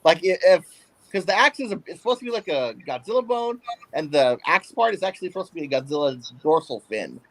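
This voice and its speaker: A man's voice: fairly high pitch, expressive, fast talker, low-quality recording. A man co-hosting a casual chat over a video call, eager to explain details. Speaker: podcast co-host.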